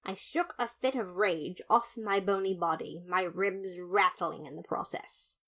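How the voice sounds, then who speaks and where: A woman's voice: mid-range voice, unhurried, sharp tone. A woman reading first-person fan fiction as a dramatized, emphatic narration. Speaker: podfic reader.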